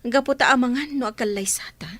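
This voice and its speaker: A woman acting an earnest young bride-to-be with an Ilocano accent, speaking deliberately and questioningly in a radio drama. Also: on the high side, a bit bright, dry recording.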